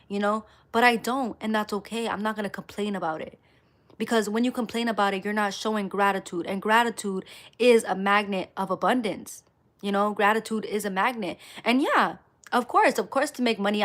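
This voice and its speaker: Female live streamer, medium-pitched, casual motivational delivery, emphatic with repeated phrases. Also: a bit bright. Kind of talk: casual motivational livestream talk to viewers.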